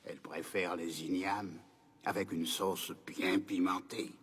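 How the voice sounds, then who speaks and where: A man voicing a wise old grandfather in an animated film, kindly and solemn, explaining patiently in a husky, breathy voice. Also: low voice, unhurried. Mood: calm, explanatory. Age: older.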